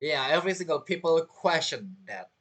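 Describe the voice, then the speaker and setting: Male gaming commentator: forceful bright tone, animated intonation, casual and amused, with halting phrasing. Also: fairly high pitch. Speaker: gaming content creator playing through a story quest. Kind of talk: casual live game commentary. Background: game music.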